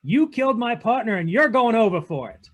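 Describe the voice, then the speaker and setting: A man's voice: very high voice, sing-song, a little fast, full character voice. A man playing a cynical, unyielding private detective in a 1940s-style radio drama, with a clipped, tough-talking delivery. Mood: stern. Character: hard-boiled male private detective, cynical, unyielding to his lover. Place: online video-call read-through.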